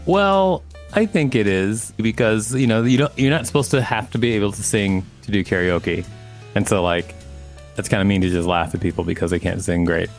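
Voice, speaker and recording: A man's voice: on the low side, normal speed, soft background music. A man co-hosting a podcast, reading an article aloud and adding casual asides. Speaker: podcast co-host.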